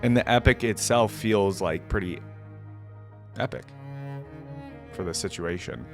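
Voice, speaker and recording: A man's voice: low-pitched, measured pace, soft background music. A man hosting a reaction video with casual commentary, speaking informally and enthusiastically with frequent asides. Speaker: YouTube reaction host.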